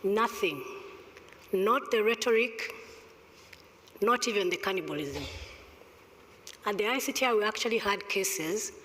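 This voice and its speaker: A middle-aged woman with a Rwandan accent making emphatic, deliberate panel remarks into a table microphone. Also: medium pitch, a bit bright, a little hiss or hum. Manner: emphatic, deliberate argument with pointed rhetorical questions. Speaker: conference panelist. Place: large indoor conference hall, on stage. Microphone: gooseneck table microphone. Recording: reverberant conference hall sound.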